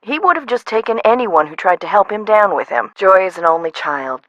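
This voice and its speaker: A woman's voice: medium pitch, animated, a little fast, dry recording, lo-fi sound, lightly acted. An actress voicing a frazzled, no-nonsense woman who runs an amusement park in a video game, conversational and dry. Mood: matter-of-fact, regretful. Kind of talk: scripted video game voice acting.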